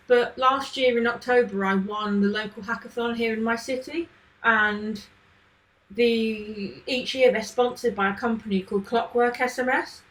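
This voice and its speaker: Woman, casual live-stream coder, slightly higher voice, rambling and friendly with chat. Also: fairly bright tone, rough recording. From not from Manchester. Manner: chatty, thinks aloud, answers chat questions. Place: home, living in Manchester.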